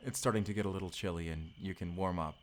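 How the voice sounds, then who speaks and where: Male actor as boyish time-traveling husband, low voice, intimate, bashful, rambling, romantic audio roleplay. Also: fairly even intonation, fast, a little hiss or hum, close to an everyday voice. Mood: tender, nostalgic. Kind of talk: romantic audio roleplay acting, addressed to listener.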